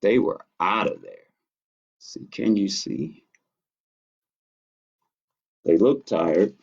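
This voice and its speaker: Male children's storytime reader: slow, very animated delivery with picture asides, slightly muffled lo-fi sound. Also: low voice, creaky, slightly rough. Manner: expressive, commenting on pictures. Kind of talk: reading picture books aloud to children with asides. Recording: dull lo-fi audio.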